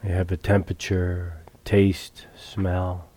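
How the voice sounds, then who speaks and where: Male meditation teacher, deep and even-toned, calm and unhurried with long pauses. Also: husky. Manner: calm, measured, long pauses between phrases. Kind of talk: guided meditation instruction. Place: retreat center meditation hall.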